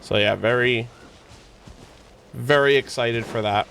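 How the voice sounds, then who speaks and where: A man chatting casually on a livestream as he streams games, rambling along in a halting way. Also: medium pitch, creaky, fairly bright tone, slight background noise. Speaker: game streamer. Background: background music.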